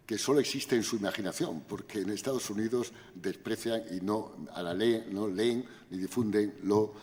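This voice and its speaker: An older man, answering questions at an academic conference in a measured, lecturing way with frequent tag questions. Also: on the low side, a little mellow, big-room sound. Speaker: invited speaker at academic conference. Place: university auditorium.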